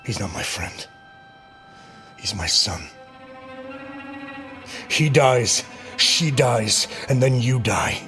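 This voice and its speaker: A man's voice: mid-range voice, lively intonation, extremely slow, long pauses, pushed and bright, faint music behind, heavily acted. An actor playing a menacing, commanding male outlaw in a video game cutscene, curt and threatening.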